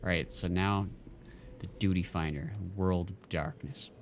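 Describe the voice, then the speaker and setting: A man's voice: deep voice, steady tone, a little slow, background noise. A man streaming a game, chatting casually and rambling with lots of fillers and restarts. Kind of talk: casual chat while gaming.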